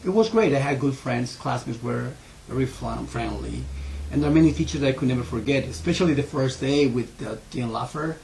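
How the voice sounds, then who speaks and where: A man's voice: mid-range voice, vocal fry, a little mellow, low-quality recording. Ecuadorian guy, heavy spanish accent, telling his story, lots of uhs and restarts, bit of hiss behind. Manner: earnest, halting with many fillers and restarts. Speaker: interviewee, school owner. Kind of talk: personal story in an interview.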